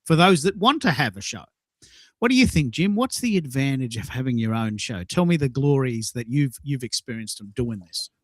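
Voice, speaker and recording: A man's voice: mid-range voice, expressive, moderate pace, no echo. A man in his sixties hosting a conversational round-robin talk show, putting questions to guests. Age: 60s. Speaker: show host.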